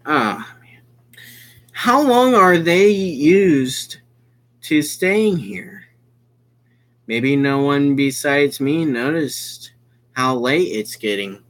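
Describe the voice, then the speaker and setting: A game streamer giving live commentary on a visual novel, exclamatory and sweary, halting and often repeating phrases. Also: medium pitch, expressive, a bit bright. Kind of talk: live visual novel playthrough commentary, reading lines aloud.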